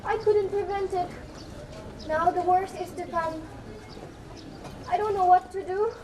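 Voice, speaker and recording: A young woman in a school stage play acting an anxious, pleading wife with a secret, in deliberate, stagey lines. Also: extremely high pitch, fairly even intonation. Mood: despairing. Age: young adult. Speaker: student actor in a school play. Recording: distant mic, echoey room, noisy background.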